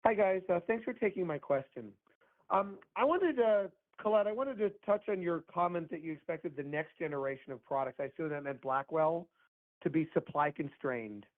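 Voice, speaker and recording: Male financial analyst, slightly high pitch, halting questioner, narrow phone-call sound. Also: expressive. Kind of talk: spoken question on an earnings call. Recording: thin phone line, radio-like.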